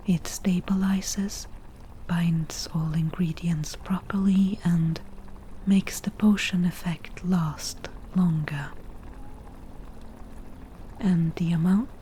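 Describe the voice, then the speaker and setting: A woman voicing a laid-back witch brewing potions, whispering breathily and matter-of-factly in an unhurried ASMR roleplay. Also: on the low side, fairly even intonation, dark tone, a little hiss or hum. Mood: calm, explaining. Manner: unhurried, matter-of-fact, casual.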